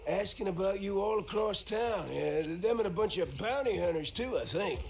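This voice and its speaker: A man's voice: high-pitched, expressive, normal speed, creaky, slight background noise. An actor playing a friendly, simple-minded, chatty American townsman in a video game. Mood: amused warning. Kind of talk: dramatic video game cutscene acting.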